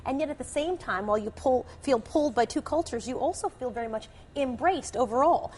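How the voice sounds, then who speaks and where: Fast-talking high-voiced TV journalist. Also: female voice, breathy edge. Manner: quick, animated and personal. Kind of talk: TV panel discussion.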